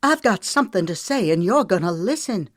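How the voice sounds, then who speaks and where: Woman doing a heartbroken Southern young wife, Southern US accent, raised and impassioned, higher and louder than the narration, dry close sound. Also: a little slow, no echo, natural voice. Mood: furious.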